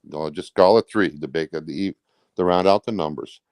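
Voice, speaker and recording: A man's voice: extremely low pitch, brisk, darkish tone, dry recording. A man talking casually as an interview guest, recounting personal events in a rambling, blunt, colloquial way. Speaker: truck driver.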